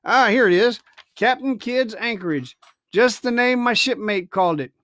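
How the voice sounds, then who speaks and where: A man voicing a crafty one-legged old male pirate cook, raised in pitch, with wheedling, folksy sailor's talk. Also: animated, moderate pace, fairly bright tone, no room sound. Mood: sly.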